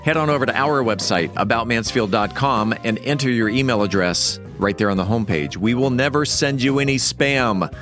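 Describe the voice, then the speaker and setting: A man's voice: medium pitch, moderate pace, hardly any pauses, a bit bright, soft background music. A man hosting a podcast in a studio, interviewing in a casual, conversational way. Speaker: podcast host.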